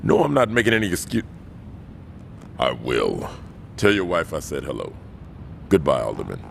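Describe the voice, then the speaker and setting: A man's voice: wildly swooping pitch, moderate pace, slight background noise, heavily acted. An actor playing a stern, commanding male gang boss who talks curtly, in a video game cutscene. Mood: placating on phone. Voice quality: deep and gravelly. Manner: curt, authoritative.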